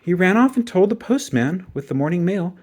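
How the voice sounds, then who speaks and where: A man reading a children's picture book aloud in an expressive storytelling style. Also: high-pitched, brisk.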